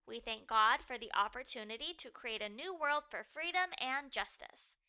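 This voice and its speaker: A woman's voice: a little high, lively intonation, normal speed, sharp tone, clean tone. A woman voicing an earnest, helpful little boy in a storybook, explaining things thoughtfully. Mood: solemn.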